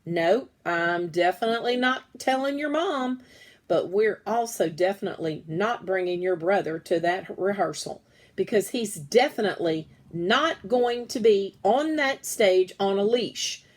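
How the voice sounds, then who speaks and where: A woman's voice: mid-range voice, lively intonation, unhurried. A no-nonsense father from a children's book, read by a woman. He sounds exasperated and lays down the law firmly. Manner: firm, no-nonsense. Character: firm, exasperated dad in a children's story.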